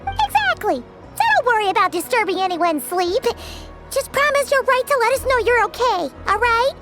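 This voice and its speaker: An actress voicing a bubbly, warm-hearted little girl in a video game, cheerful and eager. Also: very high voice, big pitch swings, moderate pace, over loud music. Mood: reassuring, eager. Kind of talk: video game cutscene voice acting.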